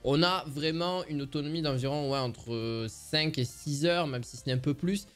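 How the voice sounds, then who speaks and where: A man's voice: on the high side, moderate pace, soft background music. A man giving a casual, semi-improvised product review as a presenter, explaining things in an informal, rambling way.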